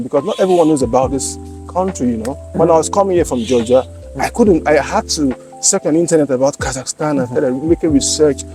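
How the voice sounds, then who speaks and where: A man's voice: on the high side, mellow. A man hosting an interview podcast, enthusiastic, full of affirmations and speaking directly to viewers. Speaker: podcast host.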